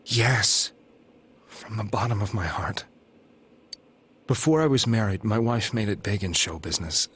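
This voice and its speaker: Low, husky, guilt-ridden grieving man. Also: lively intonation, a little slow, long pauses, a bit bright, exaggerated voice. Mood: grieving, dejected. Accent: American. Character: troubled middle-aged man confessing, guilt-ridden and grieving. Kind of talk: dramatic video game monologue.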